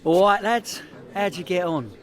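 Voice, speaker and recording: A man's voice: high-pitched, a little slow. A man with a London accent playing a boastful, chatty small-time crook in a comedy radio play. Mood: breezy, matey. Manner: bragging, colloquial, cocky.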